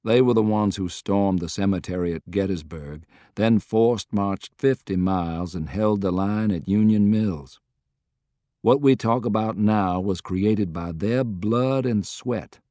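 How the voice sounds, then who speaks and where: Man playing a hushed, weary, resolute general. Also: fairly low pitch, no echo, studio quality, lightly acted. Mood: solemn resolve. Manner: hushed, slow, measured. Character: grave, weary male Confederate general, quietly resolute.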